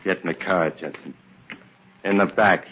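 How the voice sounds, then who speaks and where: A man plays a menacing hired gun with a very low, gruff voice. He snaps curt threats in old radio crime drama fashion. The recording is dull and faintly hissy. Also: fairly even intonation, moderate pace, lightly acted. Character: menacing gangster henchman, a gruff thug with a gun.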